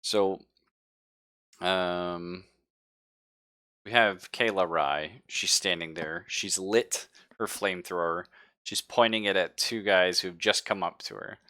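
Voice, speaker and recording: A man's voice: deep voice, steady tone, slow, pushed and bright, no room sound, clean studio sound. A man running a tabletop roleplaying game, improvising narration and talking options through casually with his players. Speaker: game master.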